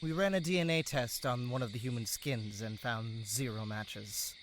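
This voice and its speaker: A man's voice: mid-range voice, moderate pace, a little hiss or hum, close to an everyday voice, rough recording. A man playing a wry, cryptic forensics analyst in an audio drama, phrasing things dramatically. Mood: intrigued, ominous.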